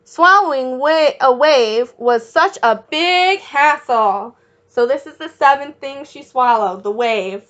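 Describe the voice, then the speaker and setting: A woman reading a picture book aloud as a children's teacher, encouraging young listeners with questions and pausing for their answers. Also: high voice, expressive, a bit bright, highs cut off.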